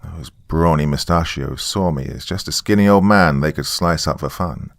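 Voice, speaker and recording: Deep-voiced man narrating a dark story. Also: normal speed, creaky, dry recording, studio quality. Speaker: narrator of horror stories. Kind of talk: dramatic scripted first-person storytelling.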